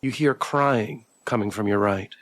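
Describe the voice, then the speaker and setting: A man's voice: fairly low pitch, unhurried, a bit bright, dry recording. A man streaming a visual novel, reading the narration aloud and adding casual commentary of his own. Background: background music.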